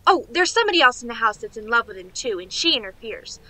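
A woman's voice: a little high, big pitch swings, quick pace, bright, forceful tone, dry recording, heavily acted, rough recording. A woman playing a chatty, naive young niece in a radio play, gushing and rambling in a girlish way. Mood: eager, gushing. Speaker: actress.

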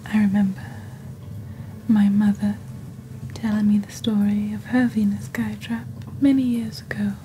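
A woman voicing a witch who presents magical wares in soft-spoken, breathy, deliberate ASMR style, close to the microphone. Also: mid-range voice, flat delivery, a little hiss or hum, close to an everyday voice. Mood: wistful storytelling. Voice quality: breathy, whispery. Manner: slow, deliberate, hushed storytelling. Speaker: ASMR performer as shopping channel presenter. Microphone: close desk microphone.

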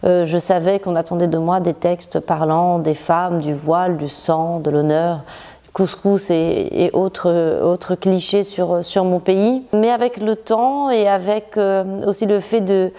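A woman novelist from Morocco, seated in a bright living room, talking thoughtfully about her writing with frequent restarts and pauses. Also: on the low side, steady tone. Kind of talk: reflective interview monologue.

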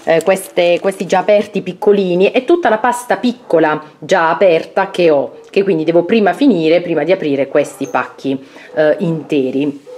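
A woman in her thirties with a slightly low voice talks through her tidied kitchen pantry. She speaks casually and hesitantly, filling gaps with little pauses, recorded up close on a camera at home. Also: darkish tone. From Italy. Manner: chatty, hesitant with fillers. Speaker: vlogger. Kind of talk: casual home tour narration.

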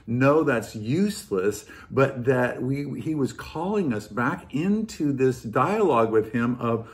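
An American man in his sixties, a pastor, talking informally to camera in an earnest, reflective way and repeating phrases for emphasis. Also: medium pitch. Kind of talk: informal devotional talk to camera. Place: living room at home, indoors.

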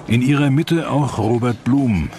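A man's voice: mid-range voice, a little slow, music in the background. A man reading scripted narration for a historical documentary.

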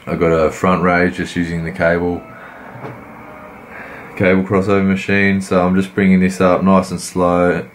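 A man, croaky from a cold, giving laid-back, rambling voice-over commentary on his workout. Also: deep voice, flat delivery, normal speed, slight background noise. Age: 20s. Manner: laid-back, rambling, many fillers and restarts. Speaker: fitness vlogger.

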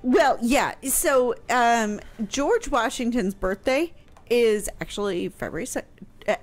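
A woman's voice: fairly high pitch, animated, slow pace. A middle-aged woman in casual radio chat, explaining things conversationally into a close microphone. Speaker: radio show guest explaining history. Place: small home room, indoors. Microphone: close broadcast mic on boom arm, headphones.

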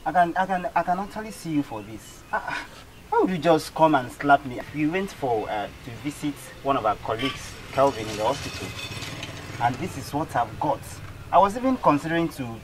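A man's voice: on the high side, lively intonation, background noise, lightly acted. A man playing a stern company boss. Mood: indignant. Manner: measured, then indignant and scolding. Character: stern middle-aged male company CEO. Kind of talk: amateur skit acting.

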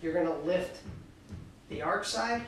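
A middle-aged man coaching skiing, calmly explaining foot movements and exercises while he demonstrates in a cluttered indoor workshop, filmed by a camera across the room. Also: high voice, measured pace, a little hiss or hum. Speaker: ski coach. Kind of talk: instructional demonstration. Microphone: far camera. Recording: echoey room, mic far off.

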